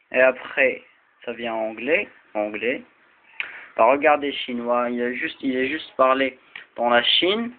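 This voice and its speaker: Man, native Arabic speaker, casual explainer, hesitant and halting, unhurried delivery, thin phone-like audio. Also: medium pitch. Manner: hesitant, halting, with frequent restarts and fillers. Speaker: video presenter. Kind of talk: casual explanatory video talk. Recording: tinny lo-fi phone-like sound with faint noise.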